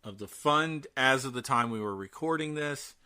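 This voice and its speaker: Bright, forceful man co-hosting a podcast. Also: medium pitch, moderate pace, clear, resonant tone. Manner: emphatic, repeats phrases for emphasis. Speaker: podcast co-host. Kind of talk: casual horror news chat.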